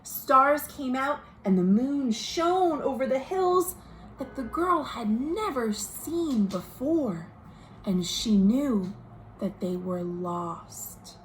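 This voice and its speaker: A woman reading a picture book aloud to children as a storytime host, expressive, pausing to speak to her young listeners. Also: sits high. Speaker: children's librarian hosting virtual storytime. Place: at home. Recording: noisy home recording.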